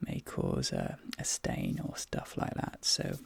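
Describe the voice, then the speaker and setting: A man softly whispering and murmuring in a soothing, reassuring ASMR dentist roleplay, with breathy delivery and hesitant pauses, close to the microphone. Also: no room sound. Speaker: ASMR performer role-playing a dentist. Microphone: close microphone, dry.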